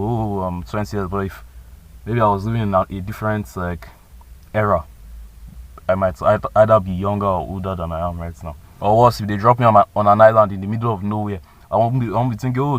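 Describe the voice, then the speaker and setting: Fast-talking young man rambling advice. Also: lowish voice, fairly even intonation. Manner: rambling, slangy, lots of "like" and "you know". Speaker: vlogger. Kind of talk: casual advice monologue.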